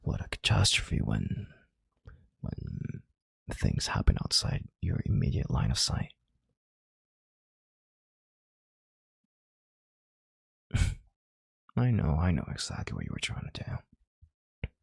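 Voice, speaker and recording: An extremely low, husky male voice acted by a man plays a domineering CEO in an intimate audio roleplay. He murmurs with heavy vocal fry and calm authority, condescending yet tender. The sound is close and dry. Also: unhurried, pauses often, dry recording, exaggerated voice. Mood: analytical, controlled. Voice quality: very deep, gravelly, breathy murmur.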